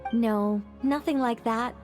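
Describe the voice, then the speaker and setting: An ageless, high-voiced mage over music. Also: female voice, slow, darkish tone. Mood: playfully proud. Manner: theatrical, musing, self-assured. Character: ageless female mage, fallen angel, wry, wistful storyteller. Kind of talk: scripted game cutscene dialogue. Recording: background music.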